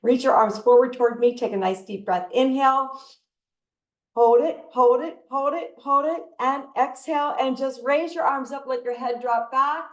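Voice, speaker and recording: A woman leading a laughter yoga session, giving breathing cues and breaking into loud laughter often. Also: high voice, moderate pace, roomy sound, rough recording. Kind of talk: guided exercise instruction. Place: video call from home. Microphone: computer mic on a video call.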